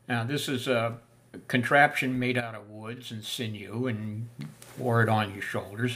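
An older man talking through the history of a piece of camping gear in a folksy, unhurried way, with many filler sounds. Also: medium pitch, a bit bright. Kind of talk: casual spoken history lesson. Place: small room indoors.

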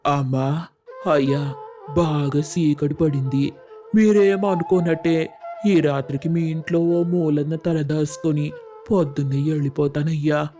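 A man voicing a humble, hungry beggar in an animated moral story, with a drawn-out, imploring delivery. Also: fairly high pitch, lightly acted. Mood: meek, imploring. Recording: music under the voice.

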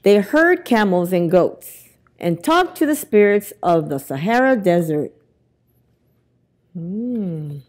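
Slow, lively woman reading a picture book. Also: mid-range voice. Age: middle-aged. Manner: expressive, deliberate reading to young children. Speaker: library storytime reader. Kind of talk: children's picture book read-aloud. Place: indoors in a library, among bookshelves. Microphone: lapel mic.

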